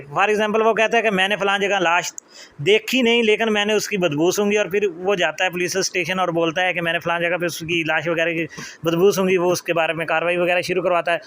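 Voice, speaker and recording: A man's voice: sits high, fairly bright tone. A man with a Pakistani accent giving an explanatory lecture, reading out formal legal text and then explaining it. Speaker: law lecturer.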